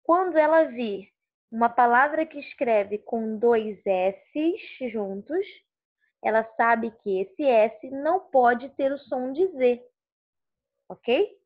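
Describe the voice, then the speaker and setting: A woman's voice: fairly high pitch, animated. A woman teaching a lesson on letters and sounds, explaining step by step and often checking with "ok?". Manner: slow, explanatory, checks understanding with "ok?". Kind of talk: recorded classroom lesson. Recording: tinny phone-like sound.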